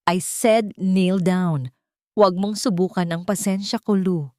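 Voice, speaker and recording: A woman's voice: lowish voice, steady tone, unhurried, a little mellow, dry recording, clean studio sound, lightly acted. A woman voicing a cruel, haughty rich female boss in an audio story, contemptuous and commanding. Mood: mocking, angry. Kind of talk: dramatic Tagalog audio story dialogue.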